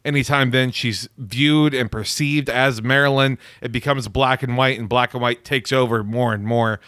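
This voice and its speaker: A man co-hosting a casual film review podcast, recapping scenes with sarcastic, deadpan jokes. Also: mid-range voice, normal speed, no room sound, clean studio sound. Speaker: podcast co-host.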